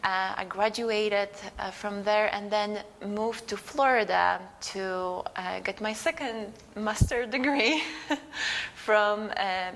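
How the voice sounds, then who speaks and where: Slow, gravelly woman. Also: mid-range voice, fairly bright tone. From Poland. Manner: thoughtful, searching for words. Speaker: guest artist. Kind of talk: interview answers. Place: museum gallery.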